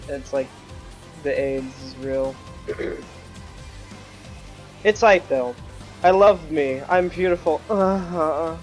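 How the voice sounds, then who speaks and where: A young man hosting a casual gaming stream, joking and self-mocking, with a reasonably high-pitched voice, talking into a home desktop mic. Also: slow pace, long pauses, rough recording. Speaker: stream host. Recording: background music.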